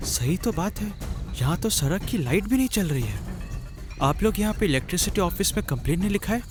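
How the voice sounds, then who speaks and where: A man's voice: sits high, very animated, a little fast, music in the background. A man playing an anxious, polite young traveller in a cartoon horror story, hesitant and questioning. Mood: puzzled. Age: young adult. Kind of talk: acted Hindi cartoon horror dialogue.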